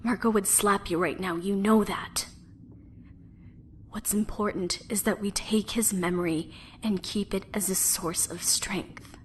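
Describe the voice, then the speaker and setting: A woman playing a solemn, tender young soldier in a fan anime drama, speaking softly and breathily, often near a whisper. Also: mid-range voice, steady tone, natural voice. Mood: gently firm. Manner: measured, soft-spoken. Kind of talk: fan dub anime parody acting. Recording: faint background noise.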